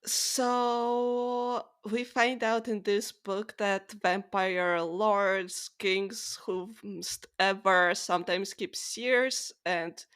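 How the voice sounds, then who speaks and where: A woman podcast host with an average-pitched voice. She speaks in a casual, conversational way, using informal phrasing as she discusses books. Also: fairly even intonation, drawn-out words, a bit bright, smooth.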